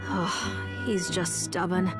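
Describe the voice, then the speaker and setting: A woman playing a composed, gently authoritative ally in a video game, speaking in a measured, formal way. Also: lowish voice, flat delivery, over loud music. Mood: calm, informative.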